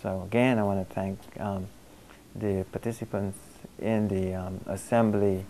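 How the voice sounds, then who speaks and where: Man from Guyana, interview subject, lowish voice, measured and halting with frequent "um"s, faint noise behind. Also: level tone, dark tone, low-quality recording. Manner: thoughtful, halting, with many pauses and "um"s. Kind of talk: reflective interview answers.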